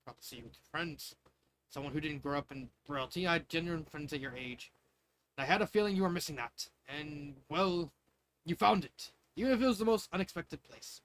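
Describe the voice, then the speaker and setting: Man reading as a proud, gentle storybook father. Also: on the high side, brisk, slight background noise, close to an everyday voice, low-quality recording. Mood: proud, tender.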